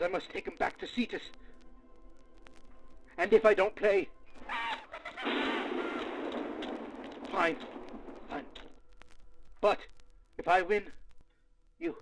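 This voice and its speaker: Male researcher character, acted, audio drama log, weary, foul-mouthed, lively swinging intonation, gravelly creak, halting theatrical delivery. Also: high voice, exaggerated voice, rough recording. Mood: frightened, bargaining. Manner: theatrical, halting, muttering to himself.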